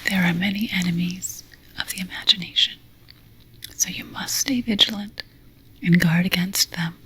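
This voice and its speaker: A woman delivers scripted ASMR affirmations in a breathy whisper with a crisp, bright edge. She speaks very slowly and solemnly, as if leading a ceremony, weighing each phrase with deliberate care. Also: mid-range voice, rough recording. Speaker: ASMR roleplay host.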